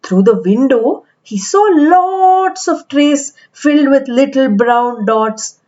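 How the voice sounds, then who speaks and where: A woman reading a picture book aloud to young children in an expressive, deliberate storytelling manner. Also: sits high, muffled recording.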